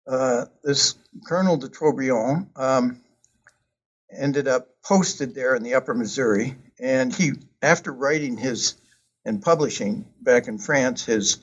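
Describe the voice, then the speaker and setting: A man talking about his book in a casual, hesitant way, with many ums and uhs and frequent pauses. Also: medium pitch. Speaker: author discussing his book. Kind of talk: casual conversational talk. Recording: dull lo-fi sound.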